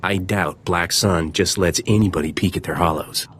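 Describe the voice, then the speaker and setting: An actor playing a roguish, dryly cocky smuggler captain in a video game. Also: male voice, low voice, moderate pace, a bit bright, a little hiss or hum. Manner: dry, cocky. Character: roguish, wry male smuggler starship captain. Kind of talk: video game hero acting.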